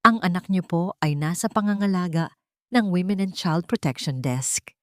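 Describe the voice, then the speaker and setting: A woman's voice: fairly low pitch, animated, normal speed, a bit bright, no room sound. Lady doing a polite but firm cop, formal, dry studio sound, story narration. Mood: firm, apologetic. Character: firm, sympathetic, professional policeman.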